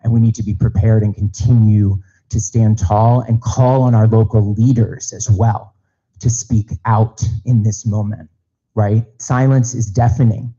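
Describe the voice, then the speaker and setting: A man's voice: lowish voice, warm tone, dull audio. A man on a party committee giving an earnest speech at a public meeting in a large auditorium, repeating phrases as he goes.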